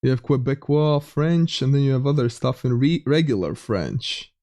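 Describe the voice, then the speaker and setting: A young man with a light Latvian accent talks casually into a close mic, reading viewers' messages aloud and often restarting his sentences. His pitch sits in an ordinary middle range. The sound is dry, with no room echo. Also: animated, normal speed, mellow. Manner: chatty, reads chat aloud, frequent restarts. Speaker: streamer. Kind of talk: casual live-stream chat with viewers.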